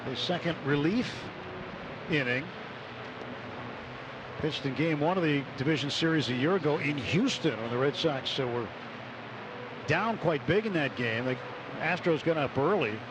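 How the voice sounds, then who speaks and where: Clear ballpark noise surrounds a man's fairly high-set voice. He delivers live radio baseball play-by-play in measured phrases, with short clipped calls of the count. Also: expressive. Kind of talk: live radio sports commentary. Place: stadium broadcast booth. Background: ballpark ambience.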